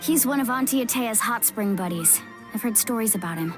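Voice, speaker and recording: A woman's voice: a little high, expressive, fairly quick, close to an everyday voice. A woman playing an earnest, polite, eager young heroine in a video game. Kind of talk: English video game voice acting. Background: music.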